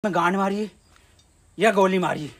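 A man exclaiming comic game callouts in a loud, excitable manner. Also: sits high, moderate pace, slight background noise. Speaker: comedian recording game voice-pack lines.

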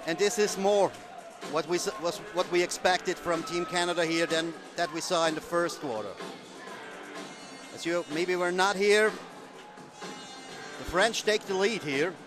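A male commentator speaking English with a German accent, not his native language, calling a live football game and getting excited on the scores. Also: high-pitched, unhurried, pauses often, music in the background. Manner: excited, rising to shouts on scores. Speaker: sports commentator.

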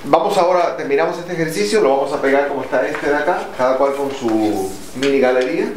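A middle-aged Ecuadorian man with an Ecuadorian accent, leading an art workshop and explaining a drawing exercise in a thoughtful, halting way. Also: a little high. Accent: Ecuadorian Spanish. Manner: thoughtful, halting, with many fillers. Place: large echoey dome indoors with people around. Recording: echoey room, distant mic, noisy.

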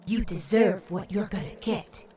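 A woman playing the seductive, menacing ghost of a murdered woman in a horror audio drama, with a teasing, drawn-out delivery. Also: mid-range voice, slight background noise, lightly acted. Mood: cold, vengeful. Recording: loud music under the voice.

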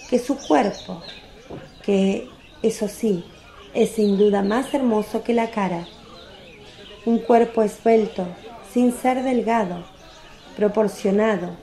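A woman narrating a religious radio drama from a script, with a solemn, deliberate literary delivery. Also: medium pitch, mellow, reverberant.

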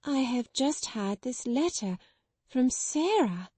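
A woman voicing a round-faced schoolgirl who answers slowly, as if half stunned. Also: on the high side, very animated, warm tone, no echo, pitched above the performer's own voice, heavily acted.

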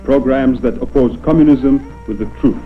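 A man's voice: medium pitch, moderate pace, phone-quality sound, faint music behind. A man from Poland reads scripted 1960s documentary narration as a newscaster, on an old film soundtrack. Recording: thin, band-limited old film soundtrack.

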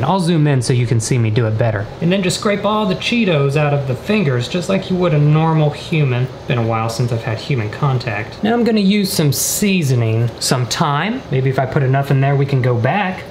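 A man in his twenties chatting through a comedic cooking vlog with deadpan, joking asides, recorded on a camera mic in a kitchen. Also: on the high side, brisk, few pauses. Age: 20s. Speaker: vlogger. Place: indoors, small apartment kitchen. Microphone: camera mic at a short distance. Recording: roomy kitchen echo, background noise.

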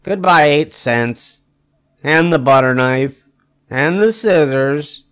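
An older man reading a children's picture book aloud expressively, with emphatic phrasing and drawn-out wry punchlines. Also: a little high, animated, fairly bright tone, smooth. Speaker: storybook reader.

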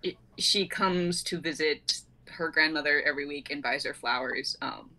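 Young woman, lower-pitched, forceful bright tone, casual livestream chat. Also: moderate pace, low-quality recording. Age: 20s. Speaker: writer and reader on a group stream. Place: indoors at home, video call.

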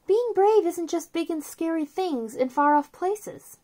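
A woman in her thirties speaking to young children as a story time host, with an expressive, simple delivery. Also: very high-pitched, unhurried. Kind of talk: children's read-aloud and chat to young viewers.

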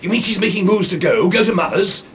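An actor playing a wry, flippant schoolteacher in a radio comedy drama. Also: male voice, sits high, expressive, quick pace, a little hiss or hum.

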